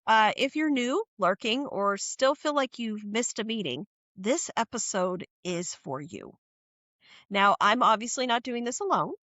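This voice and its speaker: A woman's voice: fairly high pitch, lively intonation, unhurried, a bit bright, no room sound, muffled recording. A woman co-hosting a casual book podcast, sounding stuffy from a cold, with an upbeat, chatty delivery. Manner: upbeat scripted intro, then chatty. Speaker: podcast co-host.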